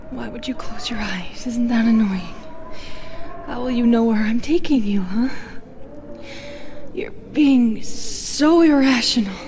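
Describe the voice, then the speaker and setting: A woman playing a menacing, mocking female monster in an audio roleplay, whispering and taunting in a deliberate way. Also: on the high side, mellow, a little hiss or hum. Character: menacing, mocking modern Medusa, undying lonely female monster. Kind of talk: intimate audio roleplay, dramatic monologue.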